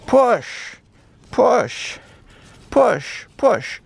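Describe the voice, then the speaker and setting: A man voicing an eager, playful little dog in a singsong, excitable way for a children's story. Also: very high voice, extremely slow, creaky, slightly rough, no room sound, full character voice. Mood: urging. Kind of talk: children's animal story role-play.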